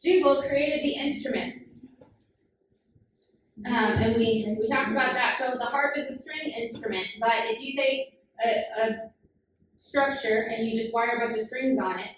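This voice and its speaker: A woman's voice: a little high, measured pace. Echoey, distant woman teaching children. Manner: patient, questioning, frequent "um" fillers. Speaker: children's Bible class teacher. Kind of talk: classroom teaching with questions to children. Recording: very echoey room, far from the mic, noisy.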